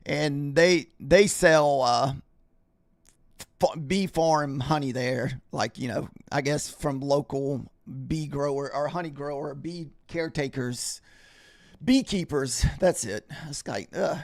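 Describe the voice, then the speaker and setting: Male streamer, casual rambling chat, slightly scratchy, fairly high, dry sound. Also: takes it slowly, no room sound. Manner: rambling, chatty, talks to viewers in chat. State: scratchy voice, clearing throat. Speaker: trading livestream host.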